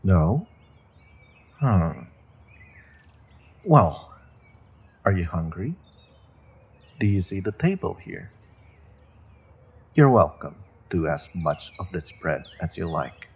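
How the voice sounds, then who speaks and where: A sinister sorcerer captor played by a man speaks very slowly, in a deep voice full of gravel. He is courteous and measured, letting polite phrases carry the threat, as in a fantasy audio roleplay. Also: big pitch swings, darkish tone, exaggerated voice. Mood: polite menace. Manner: measured, polite, veiled threats, deliberate pauses.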